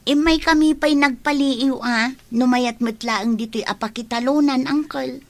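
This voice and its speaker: A woman's voice: high-pitched, measured pace, no room sound. A woman playing a young village niece in an Ilocano radio comedy drama, with an Ilocano accent. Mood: polite, explaining.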